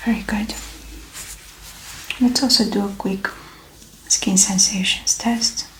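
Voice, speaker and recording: Whispery soft-spoken woman, even pitch. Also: slow, roomy sound, poor audio. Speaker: ASMR performer playing a medical examiner. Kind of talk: ASMR medical exam roleplay, soft spoken.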